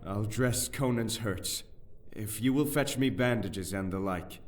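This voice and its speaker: An actor playing a cautious, earnest conspirator and loyal companion in a fantasy audio drama. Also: male voice, lowish voice, a little slow, faint music behind, close to an everyday voice. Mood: practical.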